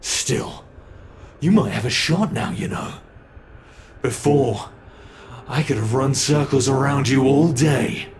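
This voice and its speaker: A man's voice: medium pitch, takes it slowly, long pauses, a crowd in the background, natural voice. A man voicing a smug, predatory male monster who teases the prey he is hunting, in an ASMR horror roleplay. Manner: teasing, taunting.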